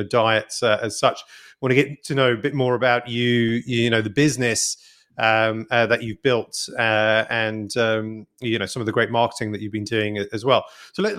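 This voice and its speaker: A man's voice: mid-range voice, fairly bright tone. Hesitant male podcast host. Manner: hesitant, many uh and um, repeats words. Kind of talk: casual interview questions.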